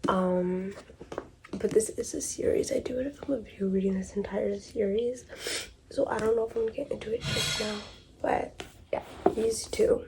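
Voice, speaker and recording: A woman's voice: mid-range voice, moderate pace. A sick, congested woman chatting casually in a book vlog, rambling and thinking aloud as she goes. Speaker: book vlogger. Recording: steady background noise.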